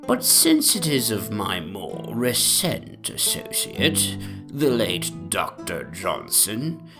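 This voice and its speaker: A man playing a very old, self-important English gentleman, reading an ornate antique memoir in a deliberate, pompous storytelling manner. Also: lowish voice, exaggerated voice.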